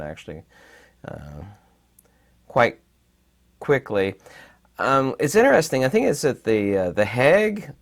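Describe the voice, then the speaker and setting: A man with a raspy, hoarse voice while recovering from illness, giving rambling, emphatic commentary with many fillers. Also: lowish voice. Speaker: channel host. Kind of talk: casual commentary to camera.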